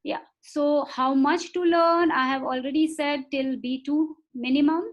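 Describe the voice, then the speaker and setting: A woman giving a webinar lecture over a video call. She talks in a measured way, often repeating words for emphasis and pausing on fillers. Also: high voice, fairly even intonation, dull audio. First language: not Marathi. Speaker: webinar speaker on foreign languages. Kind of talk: explanatory lecture.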